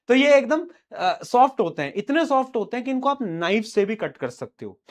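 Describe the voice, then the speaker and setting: A young man teaching a live online lecture, casual and teasing, his voice a little rough from a sore throat and a cough. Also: very high voice, very animated, moderate pace. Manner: informal, teasing, addresses students as beta.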